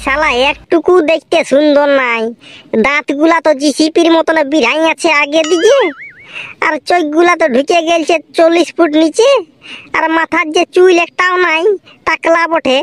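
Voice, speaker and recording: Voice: very high voice, fairly even intonation, normal speed, a bit bright, no room sound. A performer voicing a hot-tempered, self-pitying cartoon villager who complains and blusters in a rural Purulia accent. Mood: grumbling. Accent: Purulia, rural western Bengal. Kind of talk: comedy cartoon dialogue in rural dialect.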